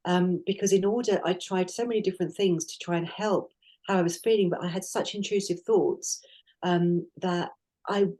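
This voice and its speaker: A woman's voice: lowish voice, steady tone, moderate pace, mellow. A middle-aged woman co-hosting a podcast, talking in an earnest, reflective way, often restarting her sentences. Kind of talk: casual conversational podcast.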